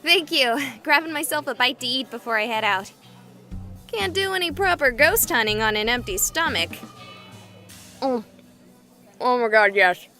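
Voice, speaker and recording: A woman playing a goofy, excitable young livestreamer in a visual novel, chatting playfully with exaggerated enthusiasm. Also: high voice, normal speed, long pauses, soft background music. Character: excitable, goofy young female livestreamer exploring haunted places.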